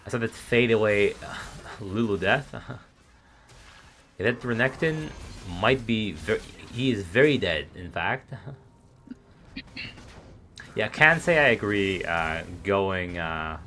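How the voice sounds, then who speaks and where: A man casually commentating a live esports match on his stream, relaxed and laughing often. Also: lowish voice, slow pace, pauses often, slight background noise.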